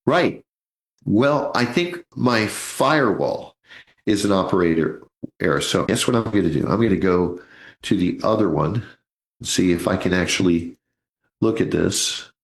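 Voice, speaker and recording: A man's voice: low voice, lively intonation, measured pace, vocal fry, roomy sound. A man in his sixties hosting a live financial radio show, chatty and joking, calling his listeners folks. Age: 60s. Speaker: radio host, financial advisor.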